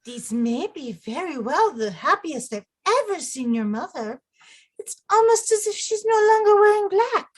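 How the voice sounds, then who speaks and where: A woman acting a young French queen in an audio play, very high in pitch with lively swoops. She is devoted and deferential, with a wistful, courtly politeness. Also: normal speed. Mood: gentle. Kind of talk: stage-style audio drama acting.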